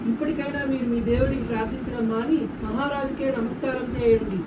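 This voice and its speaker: Woman doing a bossy puppet show king, highish voice, echoey room with loud noise. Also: steady tone, quick pace, lightly acted. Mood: imperious. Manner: declamatory, imperious. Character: proud, commanding male king in a Bible puppet show. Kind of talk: amateur puppet show acting. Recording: roomy, distant mic, loud background noise.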